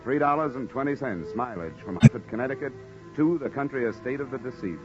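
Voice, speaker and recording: An actor playing a sardonic, hard-boiled insurance investigator in an old-time radio noir drama. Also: male voice, mid-range voice, animated, brisk, over music, natural voice. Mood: wry, narrating. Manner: sardonic, dry. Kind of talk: 1940s-50s radio acting, noir narration. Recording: muffled old radio recording.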